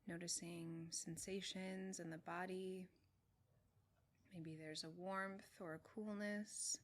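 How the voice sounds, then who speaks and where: A woman meditation teacher leading a guided meditation in a gentle, measured way, with long pauses between short phrases. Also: lowish voice, little pitch movement. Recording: clearly noisy with room ambience.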